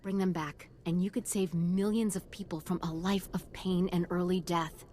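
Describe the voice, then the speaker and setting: A woman's voice: lowish voice, fairly even intonation, moderate pace, mellow, slight background noise, natural voice. A woman playing a solemn, devoted doctor in a video game, speaking in a grave, formal and earnest way.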